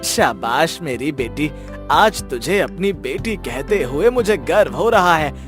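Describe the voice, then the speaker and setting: A man's voice: fairly high pitch, expressive, slow pace, a bit bright, soft background music. A man playing a loving, proud middle-aged village father in a children's moral story.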